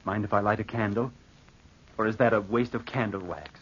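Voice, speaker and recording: An actor playing a spendthrift young nephew in a vintage radio drama, melodramatic and self-pitying, with a scheming streak. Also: male voice, on the low side, fairly even intonation, fairly quick, slightly rough, highs cut off. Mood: touchy, petulant. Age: young adult. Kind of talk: 1940s radio acting with first-person narration.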